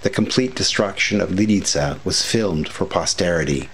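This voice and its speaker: A man reading scripted documentary narration with commentary in a measured, formal way. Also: deep voice, fairly bright tone, a little hiss or hum. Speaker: history video narrator.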